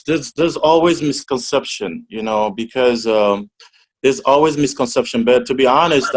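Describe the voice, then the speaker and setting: A young man from Togo talks through his answers on a video call. He hesitates and restarts often, and his pitch sits at an ordinary middle level. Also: rough recording. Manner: hesitant, many restarts and fillers. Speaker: interview guest. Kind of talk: casual interview answers.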